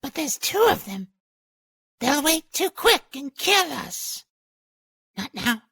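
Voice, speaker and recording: Casting: anxious, whimpering small creature, high and piercingly bright, for fantasy dramatization. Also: male voice, big pitch swings, slow pace, exaggerated voice. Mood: fearful, pleading. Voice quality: thin, creaky, whimpering. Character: timid, anxious small creature, Gollum's gentler self. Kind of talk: dramatized dialogue reading.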